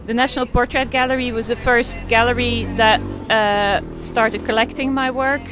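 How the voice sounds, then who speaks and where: A woman's voice: fairly high pitch, fairly even intonation, moderate pace, over music. A woman in her forties from Belgium, an artist and photographer answering interview questions in a hesitant way, with many fillers and restarts.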